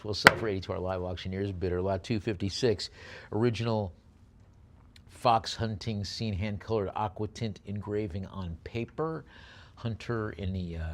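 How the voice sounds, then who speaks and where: Guy doing live auction calls, lowish voice, chants the bids then describes each lot. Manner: rapid repeated bid chant alternating with unhurried item descriptions.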